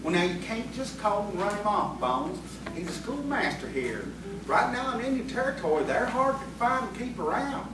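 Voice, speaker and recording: Lively, high-pitched country crony played by a man. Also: moderate pace. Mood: placating. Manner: folksy country phrasing. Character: rustic sly crony, placating his hot-headed boss. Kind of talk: audio theatre acting. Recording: echoey, distant, noisy stage recording.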